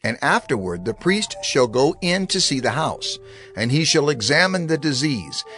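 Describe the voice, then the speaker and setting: Guy narrating a bible drama, reverent and steady, pitch moves around a lot, faint music behind. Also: moderate pace. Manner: steady, expressive, reverent reading.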